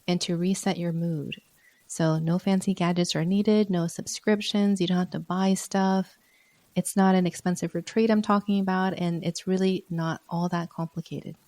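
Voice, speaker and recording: A woman hosts her own podcast in an earnest, encouraging manner, her low voice mellow and a little hoarse from a sore throat. The sound is dry and close, with no room echo. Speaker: podcast host. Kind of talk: solo podcast talk.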